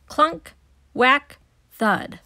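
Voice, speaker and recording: High-pitched woman reading a children's storybook. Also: extremely slow, no echo, clean studio sound. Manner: animated, emphatic on sound-effect words. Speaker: storybook reader. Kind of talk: expressive read-aloud narration for young children.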